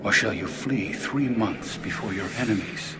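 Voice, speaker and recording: Low, grave prophet, played by a man. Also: moderate pace, over music, lightly acted. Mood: grave warning. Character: Gad, a grave male prophet delivering divine judgment. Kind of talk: dramatized audio Bible acting.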